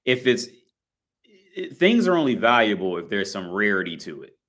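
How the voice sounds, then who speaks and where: A man's voice: on the low side, very animated, moderate pace, fairly bright tone. An American man in his thirties talking to camera in a rambling, blunt monologue, full of restarts and fillers and casual swearing. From United States. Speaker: vlogger.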